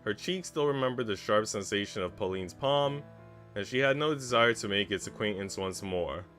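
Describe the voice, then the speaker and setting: A low-pitched man reads a story aloud as a streamer would. He narrates in storybook fashion and slips into casual asides, with faint music behind him. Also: moderate pace, fairly bright tone. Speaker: streamer reading a visual novel aloud. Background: background music.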